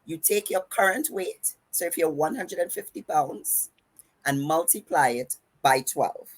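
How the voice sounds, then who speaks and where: A woman's voice: low voice, slightly rough. A woman with a Trinidadian accent hosting a podcast, giving fitness and diet advice in an emphatic, instructive way, running her words together. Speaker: podcast host and fitness coach.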